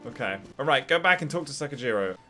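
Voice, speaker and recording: Female game streamer, deep voice, lively intonation, casual reactions, music behind. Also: fast. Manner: relaxed, brief reactive remarks. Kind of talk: casual gameplay commentary. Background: video game music.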